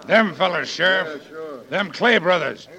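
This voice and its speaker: An actor playing an excitable rustic townsman with a rural American accent in a 1940s radio drama. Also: sits high, unhurried. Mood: accusing. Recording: very noisy old radio recording, clicks.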